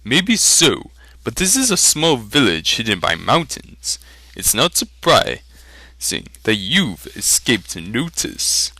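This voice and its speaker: A man voicing a grim traveling merchant in a storybook, gravely delivering ominous news. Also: mid-range voice, huge pitch swings, slow pace, sharp tone, no room sound, exaggerated voice. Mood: grim warning.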